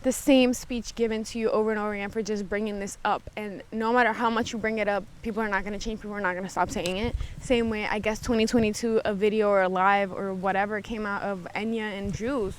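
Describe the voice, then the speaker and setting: A woman's voice: steady tone, fast talker, few pauses. A woman hosting a podcast alone, giving casual, candid commentary in a conversational way and swearing freely. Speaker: podcast host. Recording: noisy background, vehicle-like ambient rumble.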